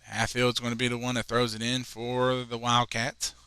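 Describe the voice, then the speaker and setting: Bright, chatty young male commentator. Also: mid-range voice, normal speed, clear, resonant tone, no echo. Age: 20s. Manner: chatty, rambling asides between plays, excited on goals. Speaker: play-by-play commentator. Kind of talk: high school soccer commentary.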